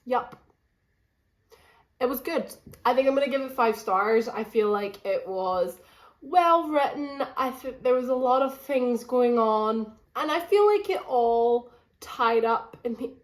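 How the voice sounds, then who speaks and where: A woman's voice: fairly high pitch, animated, measured pace. A woman, chatting casually to camera and repeating phrases for emphasis, a bit run down with a cold. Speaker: book vlogger. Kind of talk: casual chat to camera, reading vlog. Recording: echoey room with faint background noise.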